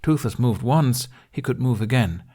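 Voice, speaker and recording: A man's voice: medium pitch, lively intonation, moderate pace, warm tone. A man reading a dramatic adventure audiobook as its narrator, in a scripted storytelling style.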